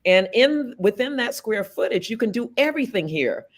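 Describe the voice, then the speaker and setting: A woman's voice: on the high side, sing-song, moderate pace, slight background noise. Earnest woman candidate in her fifties. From South Florida, USA. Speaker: political candidate. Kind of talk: interview answers.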